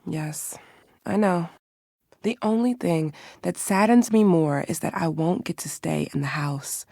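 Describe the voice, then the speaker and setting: A woman's voice: fairly low pitch, a little slow, gravelly, dark tone, dry recording, studio quality. A woman voicing a wounded but grateful game character, solemn and heartfelt. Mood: saddened. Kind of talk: video game voice acting.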